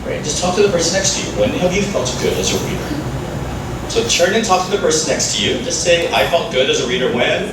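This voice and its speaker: A man's voice: on the high side, lively intonation, fast. A man from the Philippines and Canada, a native Filipino speaker, gives an interactive, conversational talk with self-deprecating asides and frequent check-in questions. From born in the Philippines, moved to Canada when very young. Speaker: education workshop presenter. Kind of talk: interactive live presentation to parents and educators. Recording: echoey room, far from mic, clear noise.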